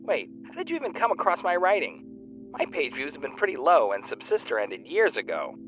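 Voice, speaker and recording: A man's voice: fairly high pitch, huge pitch swings, fairly quick, soft background music. A man playing a nervy, indignant superfan and fan fiction writer on a phone call in an audio drama. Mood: uneasy, dismayed. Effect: phone line filter. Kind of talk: naturalistic audio drama dialogue. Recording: tinny narrow phone line.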